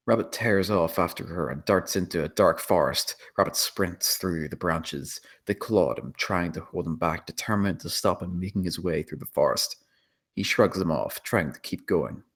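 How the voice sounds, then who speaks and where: Low-voiced man narrating a screenplay. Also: moderate pace, a bit bright. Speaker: narrator reading stage directions. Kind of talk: dramatic scripted narration.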